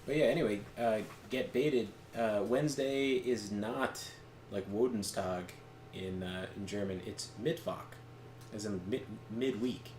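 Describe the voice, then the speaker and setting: A man co-hosting a game stream, chatting casually in a halting, digressive way as he shares bits of trivia. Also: on the low side, darkish tone. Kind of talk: casual rambling chat. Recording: echoey room, faint background noise.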